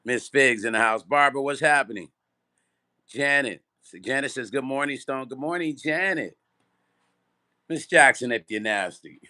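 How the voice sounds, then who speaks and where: A man with a strained, partly lost voice, chatting loosely on a livestream and greeting viewers by name, clearing his throat often. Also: medium pitch, moderate pace, pushed and bright. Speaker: music vlogger and producer. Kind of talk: casual livestream chat.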